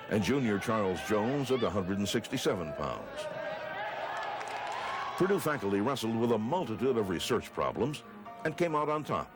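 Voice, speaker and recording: An American man reading scripted narration for a newsreel. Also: lowish voice. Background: music bed.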